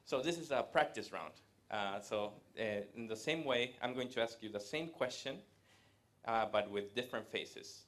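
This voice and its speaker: A man, giving a live presentation to an audience in a conversational way, pausing often to hesitate. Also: medium pitch, fairly bright tone. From Latin America. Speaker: guest speaker. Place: event room with a live audience.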